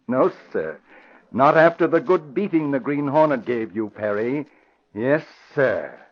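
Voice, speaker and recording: A man's voice: mid-range voice, expressive, unhurried. An actor playing a proud, wry older American father who needles jovially, in 1940s radio drama style. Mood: amused, needling. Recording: dull vintage radio recording.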